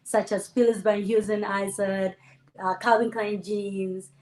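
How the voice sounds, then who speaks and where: A woman from the Dominican Republic tells her story in a lively, animated way, often acting out quoted voices. Her pitch sits in a middle range, and the room around her rings with noticeable echo, as on a video call. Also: unhurried. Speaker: guest entrepreneur. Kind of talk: conversational interview. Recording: echoey room.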